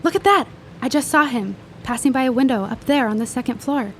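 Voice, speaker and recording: An eager, inquisitive young woman of the 1910s, played by an actress, with a fairly high voice. She confides like an amateur sleuth in a period audio drama, with faint outdoor ambience behind her. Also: brisk, slight background noise. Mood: eager, hushed excitement.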